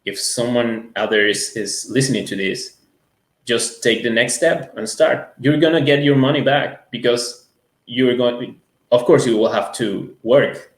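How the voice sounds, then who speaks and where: A man's voice: mid-range voice, a little slow. Roomy-sounding man, native Spanish speaker. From Ecuador. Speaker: music school owner, interview guest. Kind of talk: conversational testimonial.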